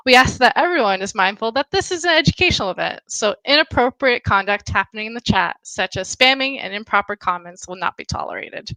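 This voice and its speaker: Woman host of a virtual school event. Bright, slightly high voice, dry and close. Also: lively intonation, a little fast, hardly any pauses, no echo, low-quality recording. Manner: upbeat, clear announcements. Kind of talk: scripted live-stream hosting.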